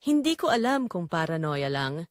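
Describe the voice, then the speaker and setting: A woman's voice: lowish voice, very animated, normal speed, no room sound, clean studio sound. A woman playing a betrayed married daughter, narrating her painful family secret in an emotive, confessional first-person style for an audio drama. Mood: uneasy, suspicious.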